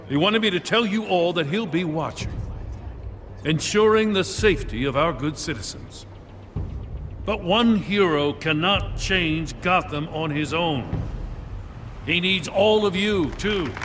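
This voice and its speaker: An actor playing an earnest, dutiful middle-aged police commissioner in a video game, plain-spoken and sincere. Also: male voice, high voice, lively intonation, a little slow, fairly bright tone. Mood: rousing, public address. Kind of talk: video game dramatic acting. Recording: faint background ambience noise.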